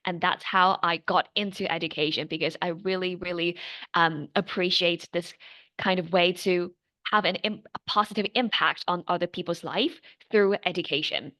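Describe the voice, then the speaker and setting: A young Chinese-accented woman speaks in a somewhat low voice, earnest and steady. She is a guest answering questions and keeps pausing on fillers and restarting phrases. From China. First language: Mandarin. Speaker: guest tutor. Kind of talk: interview answers.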